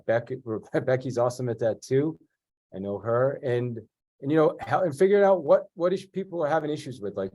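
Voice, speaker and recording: Congested, direct-talking man. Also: mid-range voice. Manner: direct, energetic, with many restarts. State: congested from a cold. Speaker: guest real estate agent and sales trainer. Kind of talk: conversational interview.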